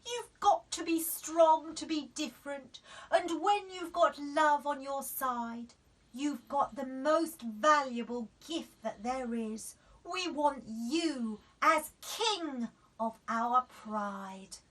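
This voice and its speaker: Woman voicing a tender mother lioness, high and slow. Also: fairly bright tone, distant mic, low-quality recording. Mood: humble, pleading. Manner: tender, emphatic, slow endearments. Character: gentle, humbled mother lioness in a storybook.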